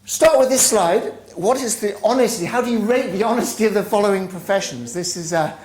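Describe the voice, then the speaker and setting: A man giving a conference talk as a business school professor, animated and often restarting his sentences. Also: high voice, fairly quick. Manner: animated, self-correcting lecturer. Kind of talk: conference lecture. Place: large lecture hall. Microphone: handheld or lectern mic, muted at first. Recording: echoey hall, faint ambience.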